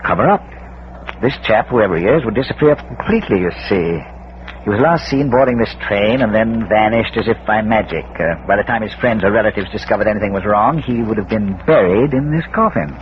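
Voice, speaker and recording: An actor playing a brisk, scholarly amateur detective, precise and a little pedantic, in an old-time radio mystery. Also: male voice, fairly low pitch, animated, close to an everyday voice. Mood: brisk, reasoning. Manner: precise, hesitant pedantic asides. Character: brisk, scholarly amateur detective, a well-bred older gentleman. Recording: dull, noisy vintage radio recording.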